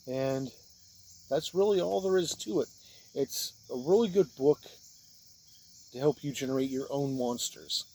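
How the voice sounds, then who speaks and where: A man's voice: medium pitch, darkish tone. Plain-spoken man reviewing, noisy background. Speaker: reviewer of tabletop role-playing books, game master. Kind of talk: informal spoken product review.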